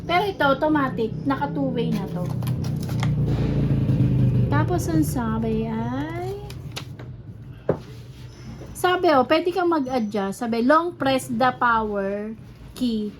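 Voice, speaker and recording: A woman's voice: high-pitched, expressive, slow pace, pauses often. A woman with a Filipino accent chatting casually and explaining a gadget hands-on in a demonstration. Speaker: vlogger unboxing and testing gadgets. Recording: clearly noisy background ambience.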